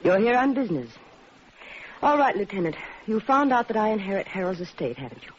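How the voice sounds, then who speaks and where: A woman's voice: medium pitch, big pitch swings, fairly quick, lightly acted. Flirtatious society widow acted by a woman, arch and poised, muffled 1940s radio drama with light noise. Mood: coy, flirtatious. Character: coy, cultured, flirtatious brunette widow. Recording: muffled, noisy vintage radio recording.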